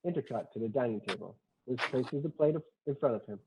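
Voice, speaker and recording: A man's voice: mid-range voice, quick pace, darkish tone, a little hiss or hum. A man narrating a screenplay's stage directions in a plain, matter-of-fact way. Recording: muffled, dull lo-fi sound.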